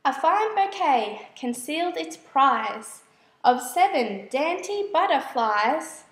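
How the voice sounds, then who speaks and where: A woman reading children's verse aloud as a storyteller, in a measured, expressive way. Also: high-pitched, reverberant. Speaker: storybook reader. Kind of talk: scripted children's verse narration.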